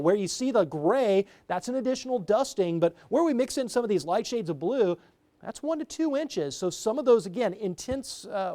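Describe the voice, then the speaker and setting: Man, TV weatherman, high voice, chatty, easygoing. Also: warm tone. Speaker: meteorologist. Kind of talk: TV weather forecast.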